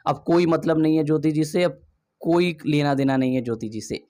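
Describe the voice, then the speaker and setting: A man's voice: a little high, a little fast, warm tone. A man giving emphatic gossip commentary, asking rhetorical questions and addressing viewers directly. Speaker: video commentator.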